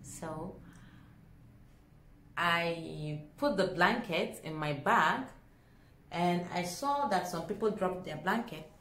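Expressive Nigerian woman in an echoey room. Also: lowish voice, takes it slowly, long pauses. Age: young adult. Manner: expressive, dramatic, acts out dialogue. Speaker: vlogger. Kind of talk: animated storytelling to camera. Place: small indoor room at home.

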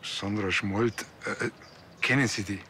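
A man's voice: fairly low pitch, fairly even intonation, measured pace, bright, forceful tone, a little hiss or hum. An actor playing an awkward, chatty, naive village policeman with a Bavarian accent and a hesitant, rambling manner, in a TV crime comedy. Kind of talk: naturalistic TV crime comedy dialogue.

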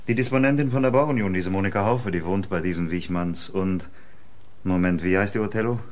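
A man plays a police investigator in a radio crime drama. The voice is low, with a brisk, explanatory, matter-of-fact delivery. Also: lightly acted. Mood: explaining.

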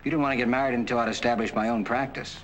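A man's voice: mid-range voice, fairly even intonation, racing, close to an everyday voice, rough recording. A man playing an eager young doctor fiancé. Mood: coaxing. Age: young adult. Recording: faint hiss on old film soundtrack.

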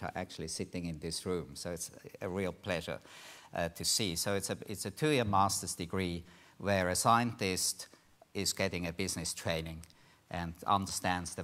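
Deep-voiced Swiss man on a panel. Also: fairly bright tone. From Switzerland. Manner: measured, with frequent ums and uhs. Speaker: panelist, institute director. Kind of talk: panel presentation. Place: conference hall stage.

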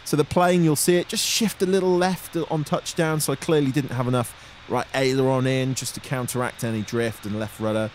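A man's voice: mid-range voice, steady tone, a little fast, slight background noise. A British man giving a casual, self-critical voice-over as a student pilot vlogger.

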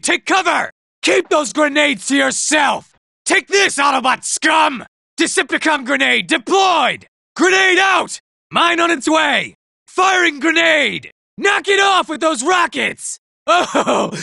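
A man's voice: extremely high pitch, expressive, slow pace, vocal fry, sharp tone, dry recording, shouting, full character voice. An actor playing a vain, boastful male robot soldier who taunts enemies and admires his own looks, in a video game. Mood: urgent shouting. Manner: smug, self-admiring, taunting. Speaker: game character. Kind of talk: video game combat barks. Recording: dry studio recording.

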